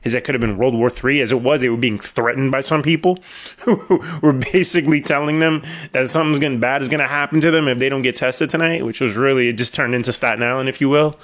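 A man's voice: mid-range voice, fast, a bit bright. A middle-aged man, hosting his own podcast and telling long rambling stories with wry asides, his voice a little worn after illness. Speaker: podcast host. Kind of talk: casual solo podcast monologue.

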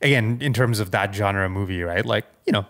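A man's voice: lowish voice, a little fast, a bit bright. A man co-hosting a podcast, chatting casually and weighing his opinions tentatively. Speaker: podcast co-host. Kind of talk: casual chat.

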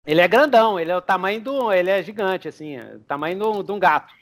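A young man with a notably high voice runs a tabletop game. He improvises the story aloud in a loose, rambling way, and chuckles as he builds the scene. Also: animated, fast talker, a bit bright. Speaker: game master.

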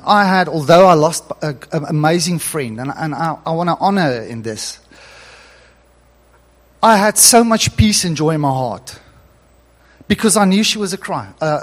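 Man, pastor, Afrikaans first language, earnest, emphatic preaching, fairly high pitch. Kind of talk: sermon.

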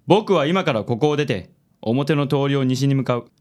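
ラジオドラマで、自信に満ちた紳士的な気取った色男を演じる男性。. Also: male voice, mid-range voice, animated, normal speed, close to an everyday voice. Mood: confident. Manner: smooth, affected gallantry. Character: suave, self-assured handsome gentleman charmer. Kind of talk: radio drama acting.

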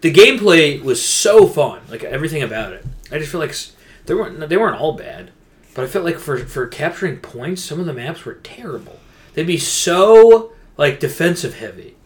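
A man's voice: on the high side, very animated, normal speed. A man co-hosting a podcast, chatting casually about games in a rambling, slangy way. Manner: rambling, informal gamer slang. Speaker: podcast co-host. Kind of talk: casual gaming chat.

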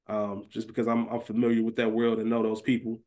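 A man's voice: fairly low pitch, completely flat delivery, a little fast, darkish tone. A man hosting a podcast, chatting casually about television in an informal, slangy, conversational way. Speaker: podcast host.

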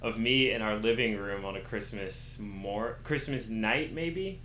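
A man's voice: lowish voice, a little slow. A man being interviewed, talking casually and candidly, acting out quotes and using slang as he tells personal stories. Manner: animated storytelling with acted-out quotes and slang. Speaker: interview guest. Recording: roomy echo with faint background noise.

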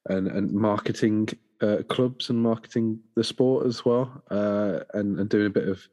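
Low-voiced co-host with a cold. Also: male voice, fairly even intonation, moderate pace, mellow, dull audio. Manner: hesitant, many fillers. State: bunged up with a cold. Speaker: podcast co-host.

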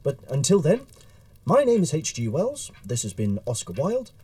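A man acting in an audio drama as an earnest, dry, slightly awkward male Victorian writer, speaking in a formal, measured, wry way. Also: a little high, huge pitch swings, mellow, no room sound. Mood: warm farewell.

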